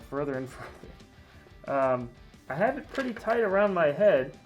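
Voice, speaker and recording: A man giving a casual, candid product review in a mildly grumbling manner. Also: on the high side. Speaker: toy reviewer. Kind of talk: casual unboxing and review talk.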